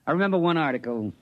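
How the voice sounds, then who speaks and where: Man playing breezy, high-energy American private. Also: narrow, tinny audio, close to an everyday voice. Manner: casual, chatty, slangy. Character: breezy, wisecracking American Air Force private and pulp writer. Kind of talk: 1950s radio drama acting.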